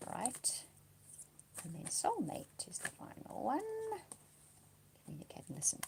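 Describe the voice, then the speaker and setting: A woman doing a monthly tarot reading, slightly hoarse from a cold, speaking softly and reassuringly, dropping to a whisper as she reads the cards. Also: medium pitch, wildly swooping pitch, unhurried, background noise. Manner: soft-spoken, often drops to a whisper reading cards; reassuring, often checks in with "okay?". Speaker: tarot card reader.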